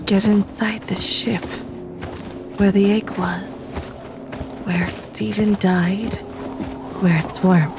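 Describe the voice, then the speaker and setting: An actress playing a dazed, resigned spaceship crew member with a detached, fatalistic manner in a sci-fi audio drama. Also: female voice, mid-range voice, steady tone, slow, pauses often, over music.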